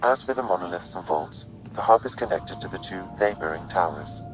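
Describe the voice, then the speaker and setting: Game AI companion: low-pitched, impassive, precise, formal delivery, music behind. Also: male voice, animated, a little fast, low-quality recording. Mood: calm, analytical. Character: calm analytical male artificial intelligence assistant. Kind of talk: scripted game dialogue, formal analysis.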